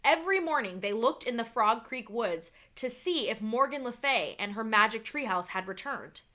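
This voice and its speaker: Woman, teacher read-aloud, children's story, high bright voice, animated, dramatic storytelling. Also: moderate pace.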